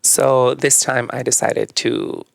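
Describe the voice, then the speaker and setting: A young adult man from northern Mexico whose first language is Spanish, a podcast guest telling his story in a relaxed, reflective way with frequent fillers. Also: medium pitch, slow pace, gravelly. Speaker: podcast guest, yoga teacher. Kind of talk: casual conversational storytelling.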